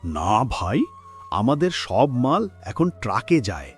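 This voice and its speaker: A man's voice: on the low side, big pitch swings, a little slow, faint music behind. Man acting a brusque businessman, very swooping intonation. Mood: dismissive. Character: dismissive businessman turning away a handcart puller. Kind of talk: dramatised cartoon dialogue.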